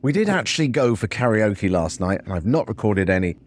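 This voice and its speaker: A man's voice: fairly low pitch, very animated, brisk, a little hiss or hum. A middle-aged man hosting a solo podcast, speaking in a reflective, conversational way and sharing personal anecdotes. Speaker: podcast host. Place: hotel room.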